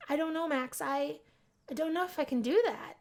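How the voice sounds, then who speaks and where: A woman's voice: sits high, normal speed, darkish tone, pitched above the performer's own voice. A woman voicing a shy, bookish young female student in a visual novel, speaking hesitantly and self-consciously. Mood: hesitant, embarrassed.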